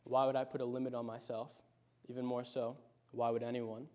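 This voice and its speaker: A high school senior in his late teens delivering a prepared personal speech, telling his story in a reflective, measured way. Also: flat delivery, heavy background noise.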